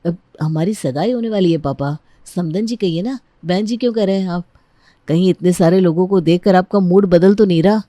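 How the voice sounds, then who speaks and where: A woman's voice: on the low side, normal speed, warm tone, dry recording, close to an everyday voice. A woman voicing an eager, impatient young bridegroom in a family story, coaxing and insistent.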